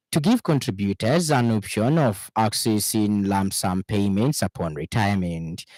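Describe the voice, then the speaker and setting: Male radio newsreader, Zambian accent, lower register, formal scripted delivery, dry studio sound. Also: a little mellow, dry recording, poor audio. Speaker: radio news reader. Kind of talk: scripted news bulletin reading.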